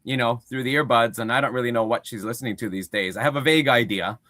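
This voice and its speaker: A man's voice: medium pitch, quick pace, sharp tone, no echo, studio quality. An easygoing man in his fifties who laughs readily in casual chat. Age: 50s. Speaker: guest interviewer.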